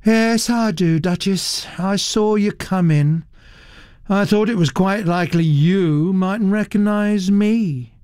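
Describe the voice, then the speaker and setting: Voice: medium pitch, animated, unhurried, a little mellow, no room sound, studio quality, pitched above the performer's own voice, natural voice. A narrator voicing a young woman artist who drawls her words with placid indifference. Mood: nonchalant. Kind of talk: audiobook character voice.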